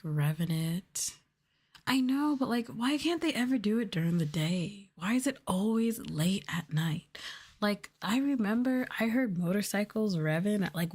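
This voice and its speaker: A woman speaking softly and casually in an ASMR livestream style, breathy and often slipping into a whisper, close to the microphone. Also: mid-range voice. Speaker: VTuber streamer. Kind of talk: ASMR soft talking, casual livestream chat.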